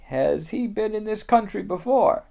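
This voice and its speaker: A reader voicing a stern, wary male beaver who speaks with grave urgency, higher and louder than the narration. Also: lively intonation, a little slow, vocal fry. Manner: urgent, emphatic storybook delivery.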